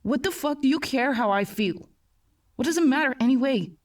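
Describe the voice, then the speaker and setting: A woman's voice: high voice, expressive, normal speed, recorded from a distance. A woman voicing an agitated, tormented young male patient in a horror story, tight and bitter, struggling to keep composure. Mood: angry, agitated. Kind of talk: acted horror story dialogue.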